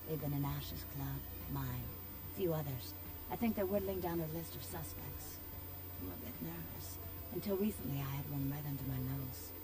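Woman playing a low-voiced sultry vampire seductress. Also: over music, lightly acted, poor audio. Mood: confiding, uneasy. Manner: slow, coy, flirtatious purring. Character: sultry seductive female vampire club owner. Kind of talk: video game character acting.

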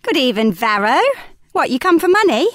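Woman as a high-voiced, dutiful servant. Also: sing-song, moderate pace, fairly bright tone, dry recording, studio quality. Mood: dutiful, polite. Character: dutiful servant sent to collect debts. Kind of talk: unrehearsed reading of a Shakespeare play.

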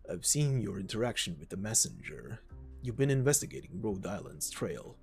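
A man voicing a stern, weary mercenary warrior who cautions gravely in an amateur reading of a game story. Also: lowish voice, big pitch swings, moderate pace, faint music behind. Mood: probing, serious.

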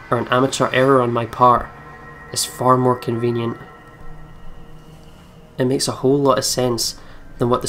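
A man, reading a game's text aloud as narration with some casual commentary. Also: medium pitch. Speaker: let's player reading a visual novel aloud. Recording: music behind the voice. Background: game music.

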